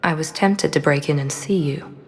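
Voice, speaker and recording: An actress playing a poised, guarded former operative in a video game, speaking in a controlled, understated way with quiet vulnerability. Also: female voice, sits low, normal speed, long reverb tail. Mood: resolute. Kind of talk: dramatic video game acting.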